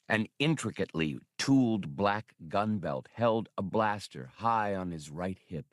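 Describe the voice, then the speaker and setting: A man reading a science fiction adventure novel as an audiobook narrator, in a measured, deliberate and expressive storytelling style. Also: fairly low pitch, no room sound, studio quality.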